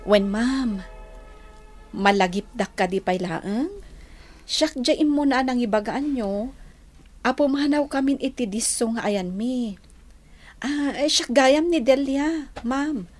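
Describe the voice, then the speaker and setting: A woman's voice: high-pitched, slow pace, pauses often. A woman acting a humble, hesitant and fearful Filipino village neighbour in an Ilocano radio drama. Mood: polite.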